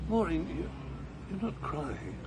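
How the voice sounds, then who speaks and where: Older bloke, earnest and a bit awkward, gravelly, voice swings up and down. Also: male voice, a little high, very animated, slow, noisy background, exaggerated voice. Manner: earnest, hesitant, gently awkward. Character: older retired man, earnest, gentle, a little awkward. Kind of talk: radio drama acting.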